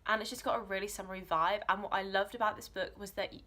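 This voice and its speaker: A woman's voice: medium pitch, fairly even intonation, quick pace, pushed and bright. A young woman in her twenties giving enthusiastic, informal recommendations in a casual, chatty vlog style. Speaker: book vlogger.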